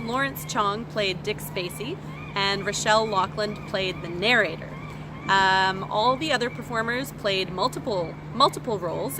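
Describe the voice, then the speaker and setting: A woman's voice: medium pitch, noisy background. A woman giving a casual spoken theatre review, musing tentatively as she thinks aloud.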